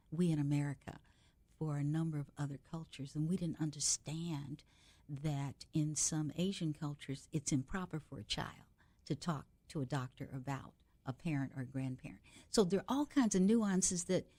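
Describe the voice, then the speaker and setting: An older woman hosting a radio interview, speaking low and mellow. She talks in a chatty, reflective way and stretches words for emphasis. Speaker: radio talk show host.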